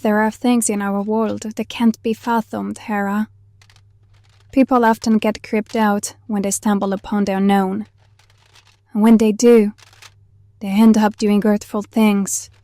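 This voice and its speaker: A woman's voice: medium pitch, flat delivery, a little slow, warm tone, no echo, natural voice. Actress as half-banshee half-necromancer woman, protective, wistful, confiding, dry close sound, audio drama. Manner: calls the younger one honey, confiding.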